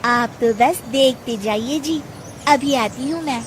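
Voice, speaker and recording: A woman voicing a gentle, patient, soft-spoken young wife in a cartoon. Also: on the high side, slow pace, background noise. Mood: reassuring.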